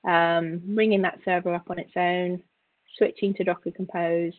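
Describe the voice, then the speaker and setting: Low-voiced woman instructor on phone-like audio. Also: level tone, moderate pace, no echo. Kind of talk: informal software tutorial walkthrough. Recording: narrow, phone-like call audio.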